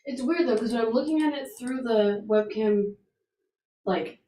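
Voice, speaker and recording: A woman's voice: fairly high pitch, normal speed, warm tone. A woman in casual, off-the-cuff chat. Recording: distant, roomy mic with faint noise.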